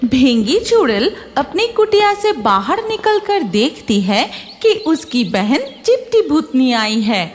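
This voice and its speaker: A woman narrates a scripted cartoon story in a high voice. Her intonation swings widely while the pace stays slow. Music plays clearly behind her. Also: sing-song.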